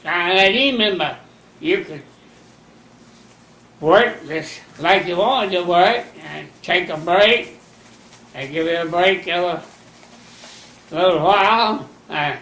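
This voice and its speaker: Folksy ninety-year-old man, high bright voice. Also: roomy sound, low-quality recording. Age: 90s. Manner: folksy, unhurried storytelling with plain rural grammar. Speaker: interviewee. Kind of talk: rambling recollection.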